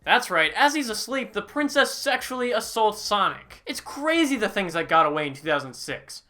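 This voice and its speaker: A sarcastic game reviewer doing a comedic voice-over in a bright, forceful voice. The delivery is mocking throughout and keeps breaking into loud, high-pitched outbursts. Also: sing-song, normal speed, soft background music.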